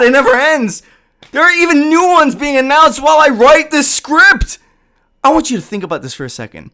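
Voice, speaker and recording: A man's voice: high-pitched, a bit bright. A man delivering comedic scripted commentary with casual asides, in a sarcastic, exasperated and sometimes profane way. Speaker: video essayist.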